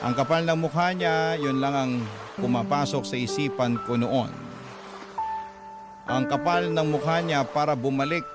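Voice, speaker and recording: A man with a Filipino accent narrating a dramatic first-person story as a radio-style storyteller. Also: a little high. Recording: loud music under the voice.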